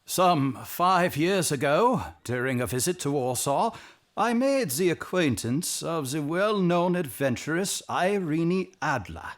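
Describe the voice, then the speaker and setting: Slow, pompous, high-voiced anxious king. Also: lively intonation. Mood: flustered, proud. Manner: pompous, formal, halting. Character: proud, anxious, pompous Bohemian king travelling incognito. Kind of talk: audio drama acting.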